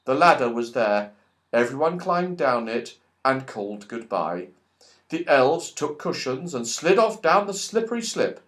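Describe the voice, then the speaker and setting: A man reading a children's story aloud as an audiobook narrator, expressive and animated. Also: mid-range voice, measured pace. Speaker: audiobook reader.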